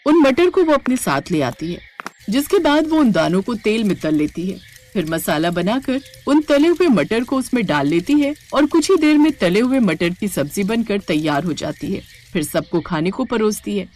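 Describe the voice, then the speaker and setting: A woman's voice: medium pitch, very animated, moderate pace, darkish tone. A woman narrating a scripted moral story as the storyteller.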